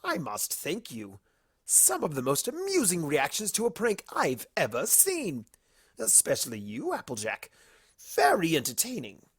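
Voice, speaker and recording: A suave male trickster from a cartoon storybook, as a narrator puts him on. He is smug and playful, slow and deliberate, with big swings of pitch in a dry, close recording. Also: wildly swooping pitch, husky, no echo, heavily acted. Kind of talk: storybook character reading.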